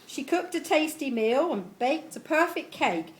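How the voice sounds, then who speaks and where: Woman, middle-aged, slow delivery, animated pitch, reading verse to guests, noisy live recording. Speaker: family member reading a tribute poem at a party. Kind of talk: reading a homemade rhyming poem aloud to guests. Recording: live event recording with clear background noise.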